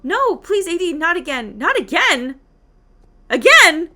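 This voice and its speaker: A woman's voice: extremely high pitch, big pitch swings, unhurried, bright, forceful tone, voice pushed up, exaggerated voice. A woman voicing an earnest, alarmed young heroine in a fantasy game, urgent and dramatic.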